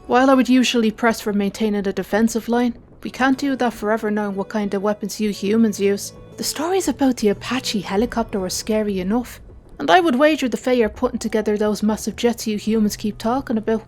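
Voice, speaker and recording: Measured female officer, played by a woman, serious audio drama read, slightly high pitch. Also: darkish tone, faint music behind, natural voice. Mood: wary, serious. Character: First Sergeant Arenda Kane, measured, serious non-human female officer. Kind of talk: audio drama character acting.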